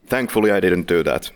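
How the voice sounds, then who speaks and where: A man's voice: on the low side, slight background noise. A man hosting a casual film podcast, making playful, jokey introductions. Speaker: podcast host.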